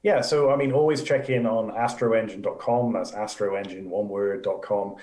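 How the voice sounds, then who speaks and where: Guest: English man, low voice, keen and chatty, roomy echo. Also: fairly even intonation, normal speed, a little mellow. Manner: enthusiastic, chatty, laughs often. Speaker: guest astrophysicist. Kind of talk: casual live Q&A chat.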